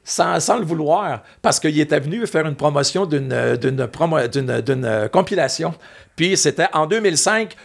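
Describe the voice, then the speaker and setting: A man with a Québécois French accent telling animated, rambling anecdotes in a casual chat. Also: on the high side, normal speed, gravelly. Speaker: guest, former music TV host.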